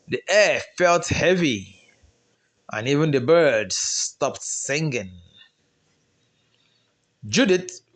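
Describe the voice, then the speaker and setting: A man's voice: on the high side, fairly bright tone. A man with a Nigerian accent narrating a folktale in a dramatic storytelling style.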